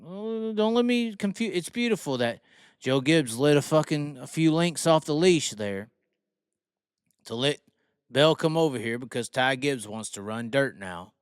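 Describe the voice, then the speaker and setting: A man's voice: on the high side, lively intonation, a little slow, clean tone, dry recording, studio quality. A man giving opinionated racing commentary in a brash, emphatic, profanity-heavy way, repeating phrases to drive them home. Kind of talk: opinionated solo rant commentary.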